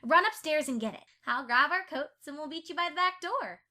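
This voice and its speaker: An actress plays a young female pony in an audio drama, caring and eager. Her voice is very high and bright, swinging up and down as she talks with earnest expression. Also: lively intonation, fairly quick, full character voice. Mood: cheerful, helpful. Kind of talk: fan audio drama acting.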